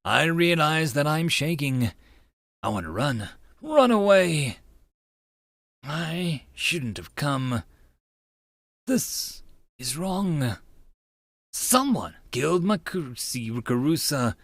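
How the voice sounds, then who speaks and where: A man voicing a shaken young man in a visual novel, with dramatic, halting, emphatic delivery. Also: fairly high pitch, big pitch swings, fairly bright tone, dry recording, heavily acted. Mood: horrified. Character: young anime man, panicked, melodramatic student narrator.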